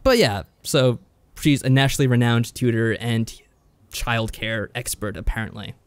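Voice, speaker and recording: A man's voice: medium pitch, normal speed, clean tone, no room sound. Dry male podcast co-host, close sound. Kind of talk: casual review chat, summarising games.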